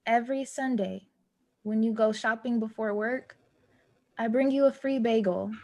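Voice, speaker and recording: A woman plays a wounded, anxious neighbor with a high voice. She pleads and reproaches earnestly, insisting on her point in halting, naturalistic stage dialogue. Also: warm tone, close to an everyday voice. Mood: hurt, insistent.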